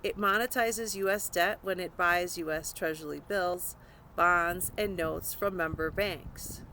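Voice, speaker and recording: A woman's voice: lowish voice, a little slow, sharp tone, poor audio. Woman reading nonfiction, faint background noise. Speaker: audiobook narrator. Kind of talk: scripted nonfiction narration, read aloud.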